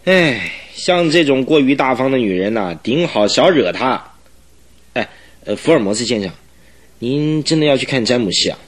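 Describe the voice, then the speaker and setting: A man's voice: mid-range voice, animated, normal speed, natural voice. An actor playing a wary, put-upon male police captain in a radio drama, grumbling and hemming as he talks. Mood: grudging, wary. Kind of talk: Mandarin radio drama acting.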